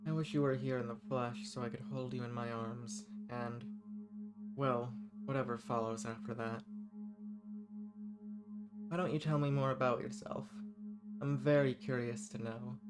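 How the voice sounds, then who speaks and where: An ancient banished villain, played by a man, speaks in an intimate roleplay over loud music. He is smug and formal, teasing and flirtatious, with soft menace under the condescension. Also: medium pitch, natural voice. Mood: flirty, tender. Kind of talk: intimate audio roleplay monologue.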